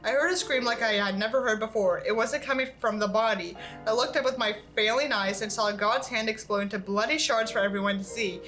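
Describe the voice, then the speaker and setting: A woman's voice: on the high side, brisk, hardly any pauses, a bit bright, faint music behind, rough recording. A woman reading a novel aloud in a theatrical, sarcastic way, as a reviewer mocking it. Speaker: YouTube book reviewer.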